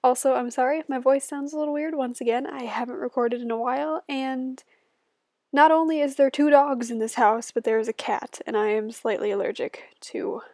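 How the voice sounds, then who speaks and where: A woman's voice: sits high, fairly even intonation, a little fast, darkish tone, dry recording, clean studio sound. A young woman in her twenties, a bit stuffy-nosed, chatting casually and giggling as she talks over her building video at home. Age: 20s. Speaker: YouTuber.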